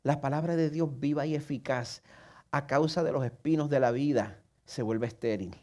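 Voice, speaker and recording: A man's voice: on the high side, normal speed, a little mellow. A man with a Puerto Rican accent, preaching a sermon in an earnest way and putting questions straight to the listener. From Puerto Rico. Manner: self-mocking humor. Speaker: pastor.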